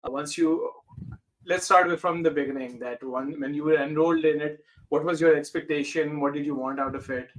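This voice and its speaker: A man's voice: on the high side, fairly even intonation, a little fast, poor audio. Hesitant, searching podcast host, male. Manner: hesitant, lots of uh and restarts. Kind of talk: podcast interview.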